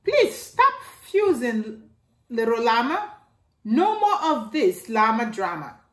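Woman reading a kids' rhyme book, high voice, slow, echoey living room. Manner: slow sing-song reading with lively rises. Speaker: storytime reader. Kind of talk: reading a rhyming picture book aloud. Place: living room by a staircase, indoors.

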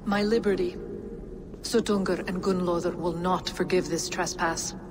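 A woman playing a solemn, grieving exiled giantess in a game cutscene, speaking in a measured, formal way. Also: fairly low pitch, fairly even intonation. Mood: bitter, solemn. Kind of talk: dramatic game cutscene acting. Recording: long reverb, loud music behind.

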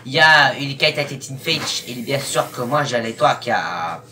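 A young man giving casual reaction commentary in a halting, rambling, disjointed way. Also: mid-range voice, expressive, sharp tone. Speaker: YouTube host. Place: small room at home, indoors. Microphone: webcam at arm's length or more. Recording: noisy webcam, distant from the mic.

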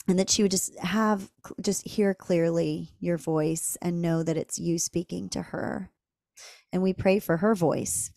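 A woman podcast host closing an interview with a gentle, earnest spoken prayer, with soft fillers along the way. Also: lowish voice, dry recording, studio quality.

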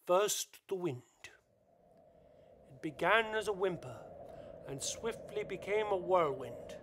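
A man narrating a scripted radio play as a deliberate, dramatic storyteller. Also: high-pitched, lively intonation, noisy background.

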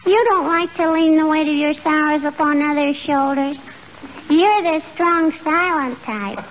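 Bratty little girl voice, super high, sassy, old muffled radio. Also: a little slow. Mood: mock-sentimental. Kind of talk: 1940s radio comedy acting. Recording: muffled 1940s radio broadcast with hiss.

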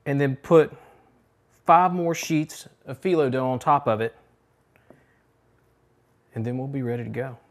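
A man's voice: mid-range voice, unhurried, long pauses. Middle-aged man demonstrating home cooking. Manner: relaxed step-by-step instruction with fillers. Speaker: cooking show host. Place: home kitchen, indoors.

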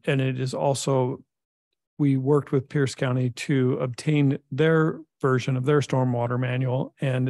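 A middle-aged man, a public works director, presenting a technical report at a council meeting in a measured way with frequent filler pauses. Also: medium pitch, creaky, a little mellow, dry recording, clean studio sound. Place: city council chamber.

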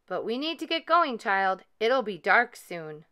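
Storybook lady blackbird, brisk and helpful, bright clear tone, played by a woman. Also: medium pitch, sing-song. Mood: brisk, kindly.

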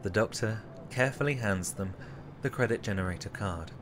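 A man's voice: lowish voice, brisk, noisy background. A man giving scripted narration for an audio drama, describing the action. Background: music.

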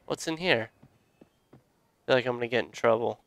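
A young man giving casual, excitable commentary while playing a video game, joking and swearing freely. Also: medium pitch, fairly quick, creaky, no echo. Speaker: let's play gamer.

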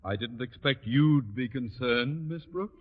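An actor playing a pompous, flustered, middle-aged school principal in a 1940s radio sitcom, with blustering, formal, theatrical delivery. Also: male voice, mid-range voice, measured pace, breathy edge, big-room sound. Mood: grumpy. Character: pompous, flustered, easily exasperated older male school principal. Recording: muffled, noisy 1940s radio recording.

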